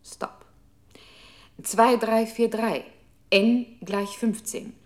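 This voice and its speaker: A woman's voice: on the high side, fairly even intonation, extremely slow. A woman playing a coolly methodical computer control unit in a German radio play, announcing instructions in clipped, impersonal phrases. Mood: curt command. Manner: impersonal, precise, reads numbers and instructions out.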